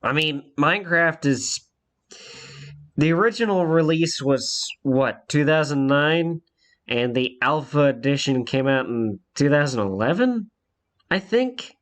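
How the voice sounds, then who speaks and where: A man's voice: fairly high pitch, expressive, measured pace, fairly bright tone. A congested young man telling a rambling personal story in a casual vlog, with many fillers and restarts. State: congested from a cold.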